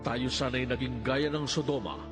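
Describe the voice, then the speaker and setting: A man voicing God and the prophets in dramatized scripture, solemn, deliberate and declamatory. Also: medium pitch, natural voice. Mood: grave, proclaiming. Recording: music under the voice. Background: background music.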